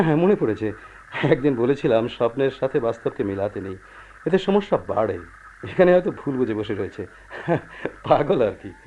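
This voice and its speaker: A man acting a calm, pragmatic husband who earnestly placates his unhappy wife in a radio play. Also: mid-range voice, lightly acted. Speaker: radio drama actor. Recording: dull, noisy old radio drama recording.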